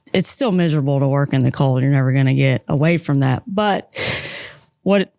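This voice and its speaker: A woman's voice: low voice, darkish tone, clean tone, no room sound, clean studio sound. A woman from Texas with a Texan accent, a guest on a podcast interview who chats and banters playfully about home life and her work. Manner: chatty, teasing, quick to banter. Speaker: guest, aggregate plant leader.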